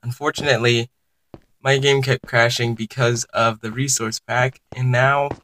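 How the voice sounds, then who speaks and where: A man's voice: mid-range voice, flat delivery, normal speed, a bit bright, rough recording. A young man giving casual gameplay commentary for his channel, chatting to viewers and reacting aloud as he plays. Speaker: gaming YouTuber.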